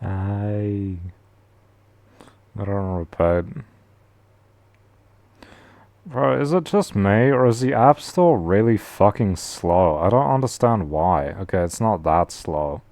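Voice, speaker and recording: A man with a deep, low-pitched voice chats casually on a live stream. He rambles in slang and throws in "bro" all the time. The sound is dry and close, with no room echo. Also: expressive, slow pace, creaky, long pauses, a little mellow.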